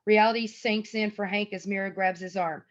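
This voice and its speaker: A woman's voice: mid-range voice, steady tone, brisk. A woman reading stage directions as narrator at a table read, recorded over a lo-fi video call. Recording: dull lo-fi video call audio.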